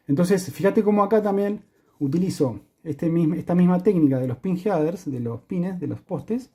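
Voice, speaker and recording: Husky, mellow Argentine man explaining electronics. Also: sits high. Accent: Argentine Spanish. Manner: explanatory, checks in with the listener. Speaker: electronics tutorial presenter. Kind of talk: hands-on tutorial explanation.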